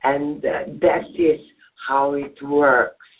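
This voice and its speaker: A man's voice: a little high, flat delivery, very slow, a little hiss or hum. A man with a Serbian accent, a native Serbian speaker, answering as a guest in a call-in radio interview, hesitant with many filler sounds. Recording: tinny phone-line audio.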